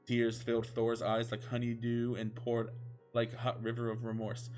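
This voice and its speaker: A man's voice: medium pitch, monotone, moderate pace, a little mellow. A man reading fan fiction aloud as a comedic, mock-epic narration, sounding amused. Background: music.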